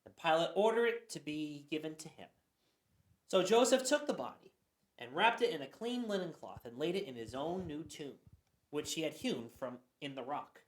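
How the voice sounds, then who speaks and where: A man's voice: fairly high pitch, animated, moderate pace. Man reading scripture in an echoey room. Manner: earnest reading with some stumbles. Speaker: narrator. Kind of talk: scripture reading in a church radio play.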